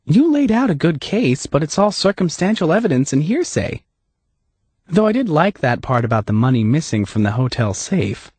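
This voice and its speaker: Female agent, voiced by a man, wry, dry, slightly raised pitch, husky. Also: big pitch swings, normal speed, a little mellow, dry recording. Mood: businesslike.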